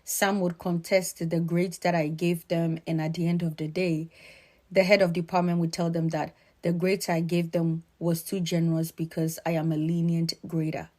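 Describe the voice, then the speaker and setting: A middle-aged woman from Ghana giving earnest, measured, emphatic spoken commentary. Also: fairly low pitch, little pitch movement, mellow.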